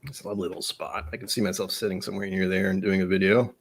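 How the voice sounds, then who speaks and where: A man's voice: sits low, flat delivery, racing, husky. A man hosting a whiskey tasting livestream, chatting casually in a jokey, rambling, self-deprecating way. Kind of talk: casual chat.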